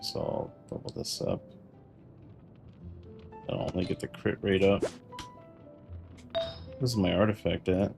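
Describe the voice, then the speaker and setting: Low creaky man streaming a game. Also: fairly even intonation, a little slow, pauses often. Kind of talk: casual gameplay livestream chat. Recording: music playing behind the voice. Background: game music.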